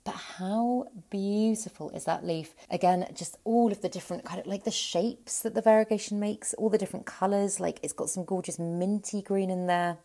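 A woman giving a chatty, enthusiastic tour of her plant collection at home, her voice a little croaky. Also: medium pitch, steady tone, normal speed, few pauses, dark tone. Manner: chatty, enthusiastic, rambling with restarts. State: voice slightly croaky. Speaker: plant vlogger. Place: indoor plant cabinet at home.

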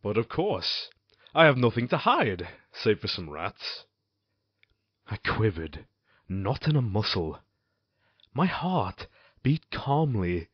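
An actor playing a guilt-ridden husband and murderer in a gothic audio drama, speaking formally and theatrically with an outward show of smug composure. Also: male voice, on the low side, breathy edge, no echo, muffled recording, full character voice. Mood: feigned ease. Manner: measured, theatrical, formal old-fashioned diction.

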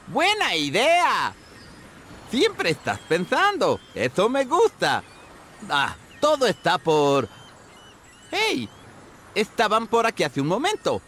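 A friendly, scatterbrained cartoon seagull. The voice is high and sharp and swings wildly up and down as it rambles and exclaims. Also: male voice, wildly swooping pitch, slow pace, long pauses, a little hiss or hum, full character voice. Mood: flustered, apologetic. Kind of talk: animated video game voice acting.

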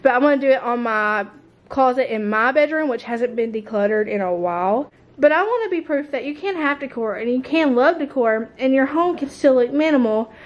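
A woman's voice: sits high, lively intonation, a little fast, muffled recording. A woman, chatting casually and ramblingly to camera indoors at home. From United States. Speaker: homemaking vlogger. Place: indoors, open-plan living room and kitchen of a mobile home. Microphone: handheld camera at arm's length.

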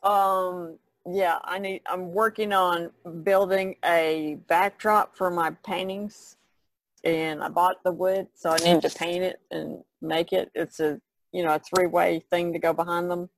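A woman's voice: fairly low pitch, level tone, measured pace. A woman artist chatting casually as a co-host on a podcast, on a noisy recording. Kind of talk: casual chat.

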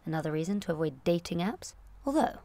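A woman in her late twenties performing a confessional comic monologue in a candid, wry, self-questioning way, moving between confiding and animated. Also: fairly low pitch, fast, no room sound. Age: late 20s. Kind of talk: confessional comic audio monologue, present-tense narration.

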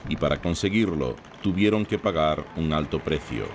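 Deep formal news narrator. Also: male voice, lively intonation, noisy background. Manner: measured, formal.

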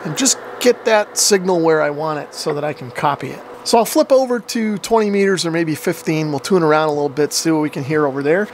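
A fairly high-voiced man in his forties hosts a casual hobby demonstration. He explains things informally, step by step, with clear noise behind him. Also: lively intonation, a little fast, hardly any pauses. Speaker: ham radio hobbyist and video host. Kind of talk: casual hands-on radio demonstration. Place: home basement radio workshop.

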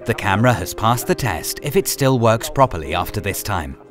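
A man narrates a training film from a script in a low-set voice. His delivery is measured and instructional, with animated intonation. Music plays clearly behind him.

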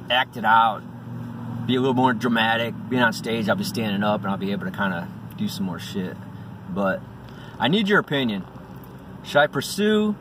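Man in his forties, lively swings in pitch, blunt casual vlogger, recorded slightly off-mic in a car. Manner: blunt, profane. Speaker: amateur comedian vlogging. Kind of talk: casual vlog with stand-up bits. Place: inside a parked vehicle. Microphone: phone or camera at arm's length. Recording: in-car, distant mic, faint noise.

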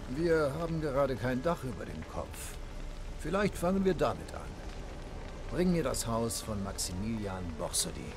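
A man's voice: mid-range voice, big pitch swings, moderate pace, music in the background. An actor playing a jaded, sardonic, cruel nobleman bandit in video game dialogue, with a wry, dismissive drawl. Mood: mocking. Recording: rain and outdoor ambience behind.

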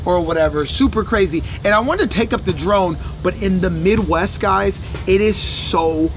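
A man co-hosting a travel vlog, recapping a road trip in an excitable, chatty way and talking straight to viewers. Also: sits high, normal speed, soft background music. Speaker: vlog co-host. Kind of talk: casual recap chat.

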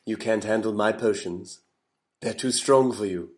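A man's voice: on the low side, measured pace, darkish tone, lightly acted. A man voicing a haughty potion seller in a comic cartoon sketch, pompous and dismissive. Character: haughty, stubborn potion seller refusing a customer.

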